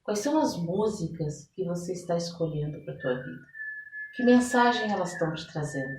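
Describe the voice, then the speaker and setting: A Brazilian woman chatting as a podcast guest in an expressive, reflective and emphatic way. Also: on the low side, brisk, background noise. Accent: Brazilian Portuguese. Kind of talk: casual podcast conversation. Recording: echoey room, distant microphone.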